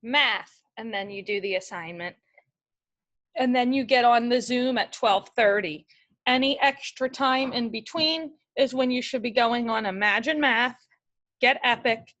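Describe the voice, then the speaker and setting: A woman's voice: on the high side, fairly bright tone, dull audio. A woman teaching a remote class of young children, reading a picture book aloud and giving patient, step-by-step instructions. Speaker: elementary school teacher.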